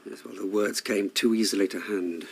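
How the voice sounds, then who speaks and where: A man's voice: on the low side, fairly even intonation, moderate pace, vocal fry, breathy edge. An actor playing a wounded, stern older husband and father in a TV soap, articulate and exasperated, with an English accent. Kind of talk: naturalistic television soap drama acting.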